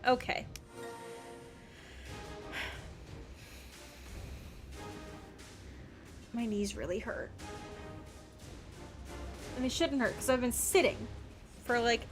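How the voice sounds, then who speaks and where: A woman's voice: fairly high pitch, animated, very slow, pauses often, a bit bright, faint music behind. A woman livestreamer giving casual gameplay commentary, muttering questions and reactions to herself as she plays. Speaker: video game streamer.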